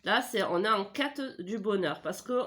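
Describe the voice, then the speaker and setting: A woman with a blocked nose from a cold reads tarot cards at home, speaking into a desk microphone. She chats in a relaxed, rambling way, with plenty of hesitations, fillers and self-corrections. Her voice sits at an ordinary middle pitch for a woman. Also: measured pace. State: stuffy head cold, voice not in form. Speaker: tarot reader. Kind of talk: casual tarot reading video.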